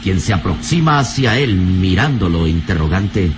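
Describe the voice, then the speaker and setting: A man's voice: low-pitched, animated, moderate pace, noisy background. A man delivering florid, dramatic scripted narration as the narrator of an old radio serial. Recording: old radio recording over music. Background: music.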